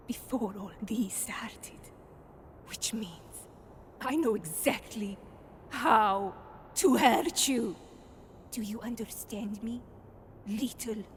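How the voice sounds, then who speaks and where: An actress playing a bitter, vengeful young resistance fighter in a video game, intense and deliberate. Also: female voice, fairly high pitch, a little hiss or hum. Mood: hushed menace. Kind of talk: dramatic video game acting.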